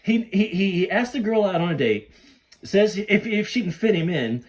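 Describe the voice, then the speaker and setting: A man hosting a podcast in an excitable casual fan chat, repeating and rephrasing himself as he goes. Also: sits high, normal speed, distant mic. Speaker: podcast host.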